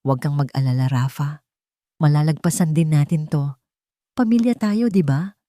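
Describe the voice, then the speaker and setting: Woman reader playing haughty rich female relative, syrupy and calculating, low soft tone, story narration. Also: moderate pace, no room sound, studio quality, natural voice. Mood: feigned concern. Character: cold, haughty society woman feigning family concern.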